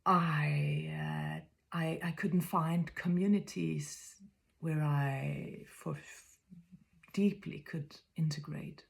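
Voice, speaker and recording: A woman in her fifties from Denmark with a Danish accent, speaking in a reflective spiritual monologue that is soft, often whispery, and halting as she searches for words. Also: deep voice, fairly even intonation, gravelly. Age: 50s.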